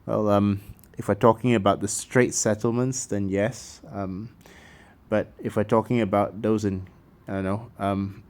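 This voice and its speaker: A man's voice: fairly low pitch, fairly even intonation, unhurried, darkish tone, far from the mic. A man from Malaysia giving an opinionated video commentary, emphatic and impassioned, with sardonic asides. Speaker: cultural commentator. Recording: echoey room.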